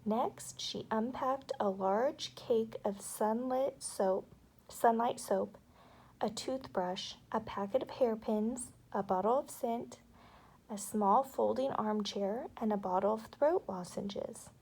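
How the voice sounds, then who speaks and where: A woman narrating a children's story aloud with expressive, dramatic delivery. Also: fairly high pitch, slow pace, husky.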